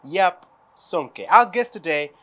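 High, lively-voiced teasing male TV host. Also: slow. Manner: playful, teasing, laughs often. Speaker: TV show host. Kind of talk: lively TV interview. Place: living room of a house. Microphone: lapel mic.

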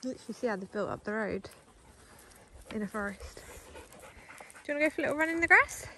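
A woman vlogging casually while riding an electric bike along a forest track, chatting to the camera and coaxing her dogs along in a high sing-song voice. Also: animated, fast talker, background noise.

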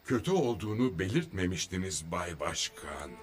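A man voicing a stern, commanding racing team manager in an animated series dub, formal and authoritative. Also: low-pitched, moderate pace, music in the background. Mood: grave, protesting.

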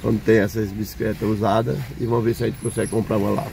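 A man's voice: on the low side, flat delivery, fast talker, vocal fry, noisy background. An older man, chatting casually to viewers while he drives and walks around a market. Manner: chatty, points things out to viewers. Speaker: vlogger. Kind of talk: casual vlog narration. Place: in a car and at an outdoor street market.

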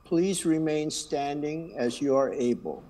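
A man speaks slowly from the front of a church sanctuary, reading announcements and leading the call to worship. His voice sits a little high for a man, and his manner is unhurried and friendly, with small asides. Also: mellow. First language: Chinese.

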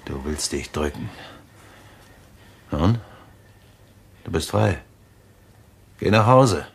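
A desperate, armed husband in a thriller radio play. His voice sits very low and husky, and he broods over his words with deliberate, theatrical weight and grim resolve. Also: lively intonation, exaggerated voice. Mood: stern, desperate. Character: Louis, grimly resolved husband with a revolver, obsessed.

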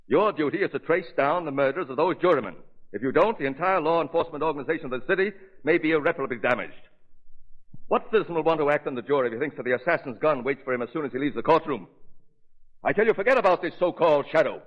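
An actor playing a dignified, skeptical judge with formal, theatrical diction in a 1930s radio drama. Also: male voice, on the high side, expressive, racing. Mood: indignant, insistent. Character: stern male judge, dignified, skeptical, uneasy. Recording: tinny muffled old radio recording.

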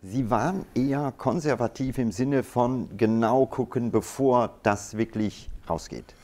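A middle-aged man moderating a stage conversation in an indoor hall, asking questions in a lively, animated way with quick asides. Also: mid-range voice.

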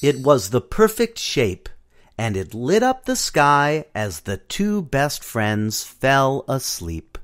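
A man reads a children's picture book aloud for a storybook app, pausing to coax a young listener into finding the shapes. He talks very slowly and lets his voice climb and dip in a wide, playful sing-song. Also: medium pitch, huge pitch swings. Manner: slow, sing-song, coaxing prompts to a child. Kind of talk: children's read-along narration.

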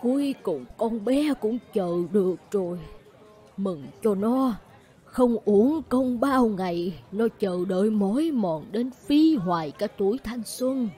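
A woman's voice: mid-range voice, animated, slow, mellow. An actress playing a sentimental elderly village kinswoman in a cartoon folk tale, wistful and heartfelt. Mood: moved. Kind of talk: animated folk-tale dialogue.

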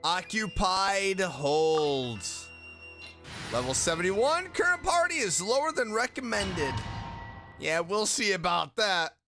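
A man's voice: high-pitched, animated, slow pace. A man giving casual let's play commentary with enthusiastic, exclaiming reactions. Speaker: gamer, let's play host. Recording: game music behind.

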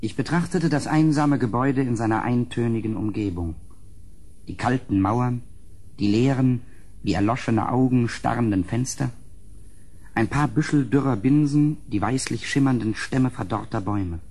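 Husky, solemn gothic gentleman narrator. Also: mid-range voice. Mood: brooding, uneasy. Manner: measured, solemn, literary delivery. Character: grave, haunted gentleman narrator visiting a gloomy castle. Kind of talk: dramatic gothic audio drama narration.

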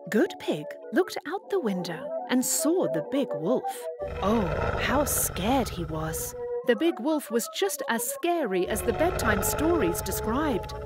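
A woman's voice: on the high side, very animated, slow pace, loud music behind the voice. A woman expressively narrating a scripted children's story as a storyteller.

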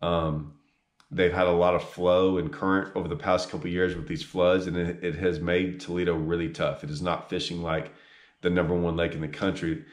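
A man's voice: low-pitched, little pitch movement, normal speed. A man, giving earnest, casual fishing advice straight to a camera, with plenty of ums and restarts. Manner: earnest, repeats himself, many ums and restarts, gestures with hands. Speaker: fishing guide giving a tournament report. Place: small room indoors. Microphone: camera on a tripod a few feet away. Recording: echoey room.

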